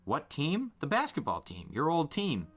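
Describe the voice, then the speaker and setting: A man's voice: on the high side, huge pitch swings, normal speed, fairly bright tone, husky, pitched above the performer's own voice, exaggerated voice. A man voicing a dismissive, secretive teenage older brother in storybook dialogue, blunt and offhand.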